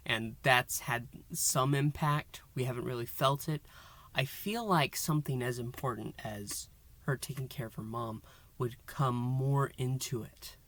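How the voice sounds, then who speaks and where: A man's voice: medium pitch, bright, forceful tone. A man hosting a podcast, giving a casual solo review of an audio drama and thinking aloud with frequent restarts and asides.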